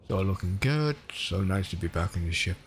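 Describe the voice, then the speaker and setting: Swedish-accented male streamer, low voice, chatty and rambling, faint hum behind. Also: lively intonation, brisk. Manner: chatty, rambling, self-correcting. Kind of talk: casual gameplay commentary.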